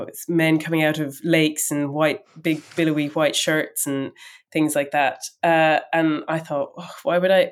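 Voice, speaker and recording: Woman on a podcast, deep voice, bit raspy from a cold, chatty, laughs a lot. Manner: chatty, laughs between thoughts. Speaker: podcast guest, writer. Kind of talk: casual conversation.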